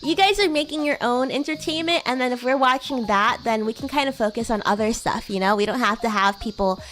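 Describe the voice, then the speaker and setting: Woman as a high-voiced bratty young demon girl. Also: fairly quick, fairly bright tone, slight background noise, lightly acted. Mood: chatty. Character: bratty, sweet young female demon classmate from another world. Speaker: VTuber streamer. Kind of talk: casual in-character roleplay chat.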